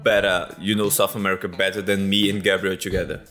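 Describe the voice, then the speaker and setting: Flat-voiced man, hesitant questions. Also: low-pitched, quick pace, faint music behind. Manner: hesitant, searching for words. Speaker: host. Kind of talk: casual interview questions.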